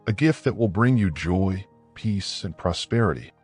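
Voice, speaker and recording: A man voicing a wealthy aunt who talks sweetly but coldly, with quiet menace, in a folktale. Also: low-pitched, lively intonation, moderate pace, breathy edge, soft background music. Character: cold, sweet-talking, menacing rich aunt.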